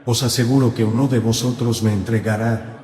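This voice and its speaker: A man voicing a calm, grave male teacher in a dramatized gospel reading, speaking in a solemn, measured way. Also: mid-range voice, roomy sound, in a large hall, close to an everyday voice.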